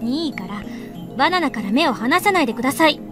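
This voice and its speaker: A prickly young female genius from a visual novel, with a high voice and rapid delivery. She is curt, scolding and assertive, over background music. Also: big pitch swings. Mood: serious, businesslike. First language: Japanese.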